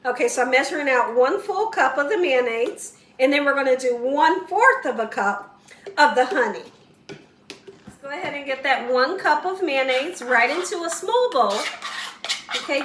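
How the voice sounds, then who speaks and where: Home cook host, woman, high bright voice, slightly hoarse, chatty, echoey kitchen with the mic set back. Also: distant mic, a little hiss or hum. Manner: chatty, encouraging, talks straight to viewers. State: slightly hoarse, losing her voice. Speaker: cooking show host. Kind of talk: casual home cooking tutorial.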